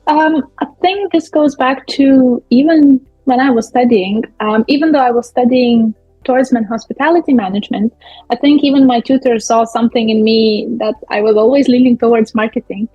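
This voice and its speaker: A young woman from Lithuania, a guest speaking in a friendly, halting way with many fillers. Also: fairly high pitch, slightly rough, no room sound, faint music behind. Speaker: guest, business owner. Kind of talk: casual interview talk. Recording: muffled, dull audio.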